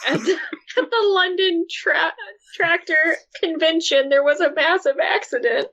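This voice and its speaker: A woman co-hosting a comedy podcast, throwing in quick quips and asides. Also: extremely high pitch, a bit bright, lo-fi sound. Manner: quick quips, uses "like" often. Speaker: podcast co-host. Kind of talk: casual comedic chat.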